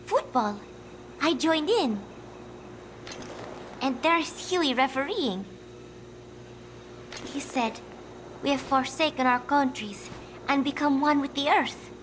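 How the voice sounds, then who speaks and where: Frail, haunted young woman for game drama: high, gentle, breathy, confused, slow and hesitant delivery. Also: animated, slight background noise, heavily acted, rough recording. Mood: wistful. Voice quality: breathy, often dropping to a whisper. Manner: halting, hesitant, fragmented phrases. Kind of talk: dramatic video game cutscene acting.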